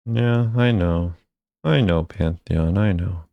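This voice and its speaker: A man's voice: low voice, slow pace, gravelly, no echo, muffled recording. A man giving offhand, casual commentary as a video creator, musing conversationally as he rates things. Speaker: video creator ranking game voice lines.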